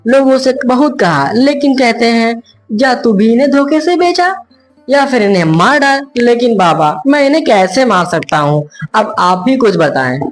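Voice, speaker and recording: A woman's voice: fairly high pitch, expressive, moderate pace, close to an everyday voice. A woman playing a poor, tender-hearted village husband in a cartoon moral story, speaking in an earnest way. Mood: worried, lamenting. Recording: music behind the voice.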